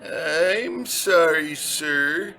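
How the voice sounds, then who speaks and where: Doddering old clerk, very slow, creaky, polite, halting, radio play. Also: male voice, expressive, bright, forceful tone, slight background noise, full character voice. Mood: dithering, polite. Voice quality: creaky, high-pitched. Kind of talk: noir radio comedy drama.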